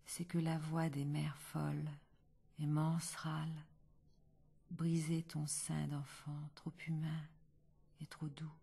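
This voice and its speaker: A woman reciting a poem in a hushed, half-whispered delivery. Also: low voice, completely flat delivery, slow pace, gravelly, pauses often, breathy edge.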